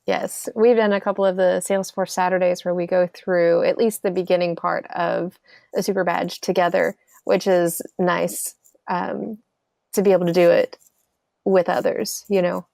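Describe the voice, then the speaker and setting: Gravelly-voiced woman co-host. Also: on the low side, level tone, moderate pace, darkish tone, no echo. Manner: brief affirmations and friendly follow-ups. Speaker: podcast co-host. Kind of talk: casual podcast interview.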